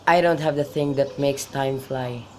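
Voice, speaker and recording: A man's voice: mid-range voice, steady tone, unhurried, lightly acted. A young man playing a lovesick, brooding youth in an amateur radio drama of modern-English Shakespeare, with birdsong behind him. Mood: gloomy, lovesick. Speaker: student actor. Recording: faint background noise with birdsong.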